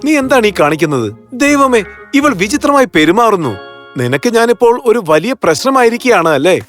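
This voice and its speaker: An irritable, work-weary cartoon husband, played by a man, grumbles in a high voice. His delivery is animated and exasperated, swinging widely in pitch over faint music. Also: moderate pace. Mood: irritated. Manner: exasperated, complaining.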